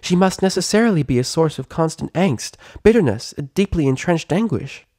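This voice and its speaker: A hyperactive young female pony acted out by a man gushes through a story in long, rambling runs. The voice is pushed high and swings up and down like an excitable cartoon party girl. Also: fairly quick, dry recording, studio quality. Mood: solemn, anguished. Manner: gushing, rambling, endless run-on chatter. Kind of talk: animated fan fiction character reading.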